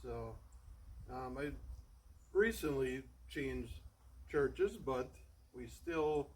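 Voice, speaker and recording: Relaxed man in his forties, dry humor. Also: mid-range voice, very slow, breathy edge, low-quality recording. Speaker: parish volunteer cook. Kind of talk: casual chat.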